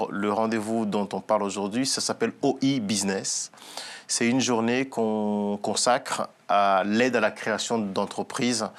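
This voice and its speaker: A man in his late thirties, an entrepreneur guest on a talk show, speaking earnestly with many hesitations and restarts. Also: on the low side. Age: late 30s.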